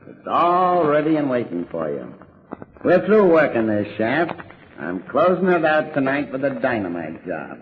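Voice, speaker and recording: A man's voice: medium pitch, phone-quality sound, lightly acted. An actor playing a smug, menacing gang boss who taunts like a tough guy in a 1940s radio drama. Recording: band-limited old-time radio recording.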